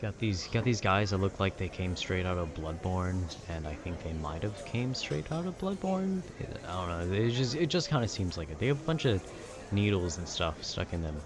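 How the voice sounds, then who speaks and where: A man's voice: sits low, very animated, moderate pace, over music. A man chatting casually in a laid-back, meandering, slangy way, as if rambling to a friend about video games. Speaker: audio roleplay creator.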